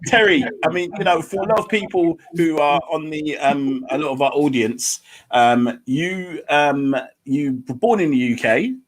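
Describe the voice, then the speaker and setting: Enthusiastic male co-host, slightly high. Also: measured pace, low-quality recording. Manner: enthusiastic, filler-heavy. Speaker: podcast co-host. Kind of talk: casual interview.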